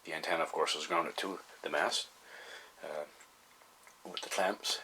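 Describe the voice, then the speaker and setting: Low-voiced man talking over noise. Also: fairly even intonation, bright, forceful tone, poor audio. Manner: halting, folksy, thinking aloud. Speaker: hobbyist radio vlogger. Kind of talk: casual explanatory vlog.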